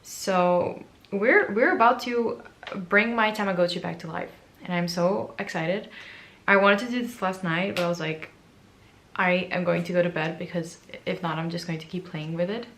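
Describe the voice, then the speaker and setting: A woman in her twenties chatting casually to camera in a book vlog. Her voice is raspy and hoarse from allergies, and she is chatty, excitable and self-mocking. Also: on the low side, moderate pace. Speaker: book vlogger.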